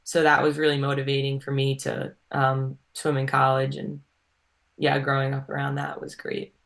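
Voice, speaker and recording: A woman's voice: very deep voice, completely flat delivery, normal speed, creaky. A young woman from Long Island with a subtle Long Island accent, chatting as a college swimmer guest, with plenty of ums and likes. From Long Island, New York. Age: young adult. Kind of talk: casual interview answers.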